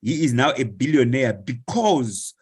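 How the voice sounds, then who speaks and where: Slow, husky man. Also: mid-range voice, lively intonation, a little mellow. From Zimbabwe. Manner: slow, hesitant, long lists of words. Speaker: webinar participant. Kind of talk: online discussion question.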